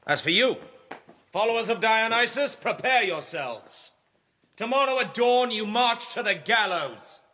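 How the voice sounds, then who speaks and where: Proud, husky young tyrant king. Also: sits high, animated, measured pace, bright, forceful tone, full character voice. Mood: commanding, angry. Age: young adult. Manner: imperious, declamatory. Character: proud, harsh, contemptuous young king, hostile to religion. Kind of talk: intense classical radio drama acting.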